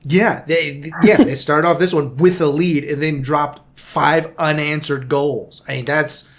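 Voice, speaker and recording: A man's voice: on the high side, fairly even intonation, unhurried. A man hosting a casual soccer podcast, rambling with frequent restarts and self-corrections. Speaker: podcast host. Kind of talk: casual sports podcast chat.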